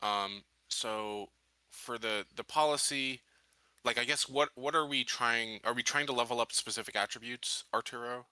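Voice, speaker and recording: A man's voice: medium pitch, moderate pace, sharp tone, clear, resonant tone, no echo. A man playing a tabletop game, thinking choices through aloud in a tentative, questioning way. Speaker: tabletop roleplaying player.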